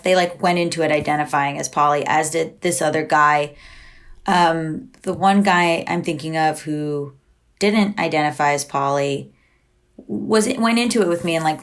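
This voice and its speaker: A woman's voice: low-pitched, a bit bright. A woman being interviewed, talking candidly and chattily, filling her speech with "like" and acting out quoted back-and-forths with frequent laughs. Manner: chatty, heavy use of "like", acts out quoted exchanges. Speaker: podcast guest.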